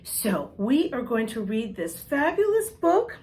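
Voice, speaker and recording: A woman reading a picture book aloud to young children, expressively, pausing to ask them questions. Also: a little high, very animated, darkish tone, breathy edge. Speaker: children's storybook reader and host.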